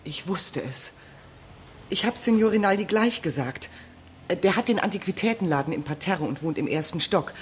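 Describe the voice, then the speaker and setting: A woman's voice: fairly low pitch, lively intonation, normal speed, natural voice. A young mother played by an actress confides anxiously in a radio crime play. Her talkative voice moves up and down a lot, with faint noise behind it. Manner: confiding, worried. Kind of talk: radio drama acting.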